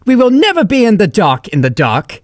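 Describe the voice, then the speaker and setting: A woman's voice: mid-range voice, huge pitch swings, normal speed. A woman playing a silly, self-important earth pony chancellor in a cartoon audio drama, rambling theatrically in muddled similes. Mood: grand.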